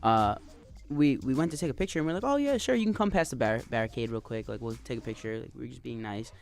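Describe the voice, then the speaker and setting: A man of about twenty from New Jersey in a boy band, telling an animated story in a radio studio. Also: medium pitch, fast talker, creaky. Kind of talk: casual interview chat.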